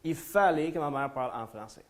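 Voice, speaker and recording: A man from Ontario with an English-speaking accent, giving a talk in French to a small room. His voice sits a little high for a man, and he hesitates often, restarting phrases and filling gaps as he works through his points. He mixes in dry jokes at his own expense. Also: animated, fairly quick. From Ontario, Canada. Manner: hesitant, many fillers and restarts, self-deprecating humor. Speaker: lecturer. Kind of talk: live conference talk. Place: lecture room before a small audience.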